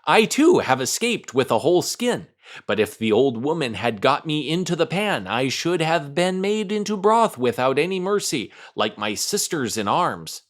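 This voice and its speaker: A man's voice: on the high side, big pitch swings, normal speed. A man voicing a chatty, companionable little female bean in a storybook tale. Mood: relieved. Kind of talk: storybook character voice.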